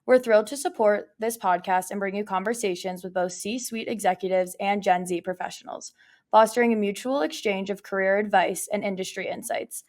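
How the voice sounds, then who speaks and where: Young woman host, cheery podcast intro, mid pitch. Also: fairly even intonation, a little fast, darkish tone. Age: young adult. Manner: upbeat, partly scripted intro. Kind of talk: podcast interview hosting.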